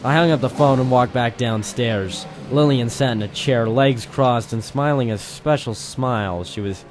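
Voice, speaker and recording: A man's voice: medium pitch, moderate pace, close to an everyday voice. A man playing a weary, wry private detective, narrating and talking tersely in a noir radio drama. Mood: wry, tense narration. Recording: music playing behind the voice.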